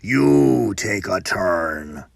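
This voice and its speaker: A man voicing a huge, surly, menacing brute in a folktale, with a slow, heavy, commanding manner. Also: low voice, very animated, vocal fry, no echo, clean studio sound, pitched below the performer's own voice, exaggerated voice. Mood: weary, bossy. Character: huge surly menacing male body-snatcher, tired and bossy.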